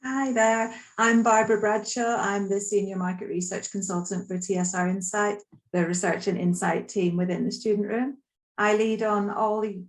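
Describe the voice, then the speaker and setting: Flat-toned woman introducing herself. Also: mid-range voice, poor audio. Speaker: senior market research consultant. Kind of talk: webinar self-introduction.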